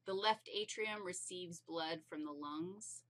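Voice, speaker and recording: Lady science teacher, kinda low but really bright voice, chatty and playful, leans on key words. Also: slow. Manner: animated, playful, emphatic. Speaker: anatomy teacher. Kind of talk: informal teaching explanation.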